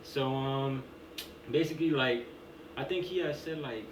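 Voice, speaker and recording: A man in his twenties, the guest on a podcast, telling relaxed stories in slang with lots of fillers. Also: mid-range voice, a little slow, crowd noise. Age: 20s. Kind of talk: casual conversation. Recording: echoey room, distant mic, noisy.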